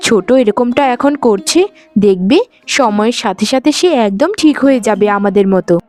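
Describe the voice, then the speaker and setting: A woman voicing a gentle, dutiful sister-in-law in a cartoon village family drama, speaking patiently. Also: mid-range voice, normal speed, soft background music, lightly acted. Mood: reassuring. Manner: patient, conciliatory.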